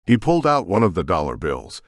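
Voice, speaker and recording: A man narrating a science fiction audiobook in a steady storytelling manner. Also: sits low, brisk, no echo, studio quality. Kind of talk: scripted narration.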